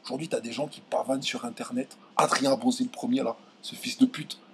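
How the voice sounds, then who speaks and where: A young man from France ranting straight to his viewers, indignant and heated, stumbling over his words and pushing his voice up high when he gets angry. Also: steady tone, brisk, slightly rough. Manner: indignant, voice jumps high when outraged, many restarts. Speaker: video commentator. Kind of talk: heated direct-to-camera rant.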